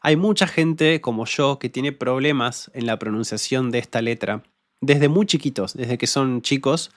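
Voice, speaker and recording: Young male podcast host with a neutral Argentine accent and a French-like R. Relaxed and hesitant, recorded dry and close. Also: mid-range voice, no echo. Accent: neutral Rioplatense Argentine Spanish, uvular French-like R. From Patagonia, Argentina. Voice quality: uvular French-like R. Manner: relaxed and hesitant, many fillers, speaks slowly for learners. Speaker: podcast host and Spanish teacher. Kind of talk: casual solo podcast, reading listener comments.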